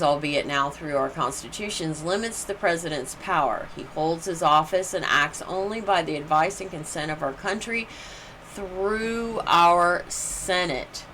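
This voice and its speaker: Female class leader, very unhurried and deliberate read-aloud delivery, lowish pitch. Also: sharp tone, a little hiss or hum, low-quality recording. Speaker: class leader reading numbered study questions and answers. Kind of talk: read-aloud lesson with brief informal asides.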